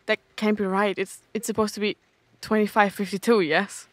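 A woman's voice: mid-range voice, little pitch movement, normal speed, dry recording, natural voice. A woman playing a bewildered, skeptical astronaut doctor in an audio drama, speaking curtly. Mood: disbelieving. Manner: curt, skeptical. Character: bewildered, curt female astronaut doctor lost in time.

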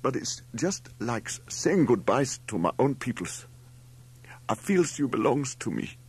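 A big-bodied, warm-hearted man from a storybook, voiced by a male narrator. He is emotional, broken English and a raised, husky voice. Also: mid-range voice, sing-song, a little slow, pitched above the performer's own voice, exaggerated voice. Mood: sobbing, affectionate. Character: huge, tearful, warm-hearted Greek man.

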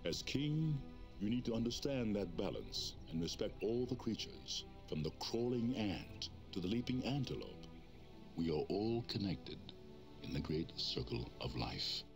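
A man's voice: big pitch swings, unhurried, gravelly, heavily acted. An actor playing a wise, noble male lion king speaking to his son in a commanding way. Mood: solemn. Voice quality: deep, commanding. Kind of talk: storybook character voice. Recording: music playing behind.

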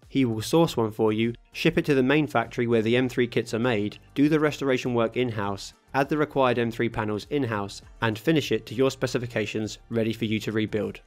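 A man reading a scripted voice-over for his own car restoration video, calmly explaining each workshop step. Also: mid-range voice. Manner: steady, matter-of-fact explaining of workshop steps. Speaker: host of a car restoration channel.

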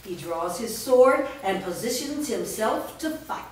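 A woman reads the stage directions in a steady, descriptive way. She sounds far from the mic in a very echoey room with faint background noise. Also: fairly high pitch, unhurried. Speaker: narrator reading stage directions. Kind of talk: staged script reading. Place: large studio room. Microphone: far camera mic.

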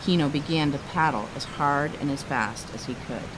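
A woman author reading her children's book aloud in an expressive storytelling style. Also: low voice, normal speed, very noisy.

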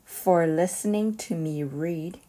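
A woman reading a children's picture book aloud as a storyteller, in an expressive and deliberate way. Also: fairly low pitch.

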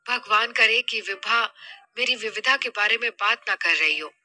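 An anxious, trusting middle-aged mother voiced by a woman frets to herself in an audio drama. The voice is bright and fairly high, with a worried, musing manner. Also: lively intonation, normal speed, heavily acted.

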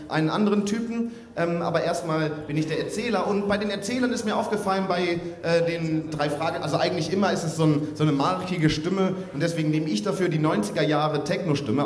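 A man presenting a comedy act live, talking casually and hesitantly into a handheld microphone. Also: on the high side. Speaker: poetry slam performer, presenter of the act. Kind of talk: casual live stage patter. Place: tent stage before audience. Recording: echoey live stage, audience noise.

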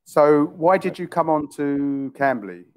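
A man's voice: a little high, expressive, a little slow, clean tone. London man on a headset. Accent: London English. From England. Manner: chatty, repeats and rephrases questions. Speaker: online English tutor. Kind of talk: casual video-call conversation. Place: small room indoors.

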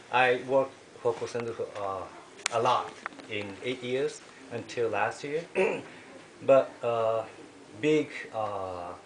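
A middle-aged man from Japan with a Japanese accent, giving a personal testimony to a small group at a home meeting, pausing often and clearing his throat. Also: mid-range voice. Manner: halting, with frequent throat-clearing and filler pauses. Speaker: member sharing a testimony. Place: living room at a home gathering. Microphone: camera across the room. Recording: echoey room with background noise.

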